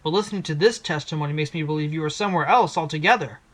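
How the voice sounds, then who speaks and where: A man's voice: on the high side, racing, fairly bright tone. A man playing a wry, earnest fox defense attorney in an audio drama, with a dramatic courtroom delivery. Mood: confident, challenging. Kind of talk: fan audio drama acting.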